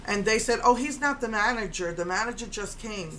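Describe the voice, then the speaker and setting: A woman's voice: on the high side, fairly quick, a bit bright, a little hiss or hum, low-quality recording. Indignant woman with a strong voice. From Puerto Rico. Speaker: vlogger. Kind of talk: casual storytelling rant.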